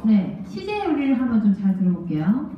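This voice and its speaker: A woman in her thirties lecturing a class with a handheld microphone, lively and quizzing students, laughing often. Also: medium pitch, brisk, a little hiss or hum. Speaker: language test instructor. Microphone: handheld mic, far camera. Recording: echoey classroom from far off, dull.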